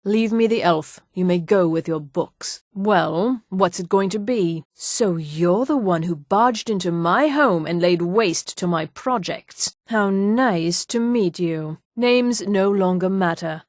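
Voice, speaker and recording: A woman voicing a haughty high elf in a video game, speaking in a formal, measured, imperious way. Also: darkish tone, no room sound, studio quality. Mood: cool, disdainful. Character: haughty female high elf mage and guard. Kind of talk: video game character dialogue.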